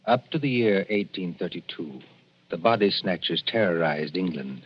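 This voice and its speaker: A man with a low voice narrates in dramatic 1940s radio suspense style. His delivery is ominous and scripted, on a dull old broadcast recording with faint music behind. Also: lively intonation. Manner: ominous, deliberate storytelling. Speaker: radio show narrator and announcer.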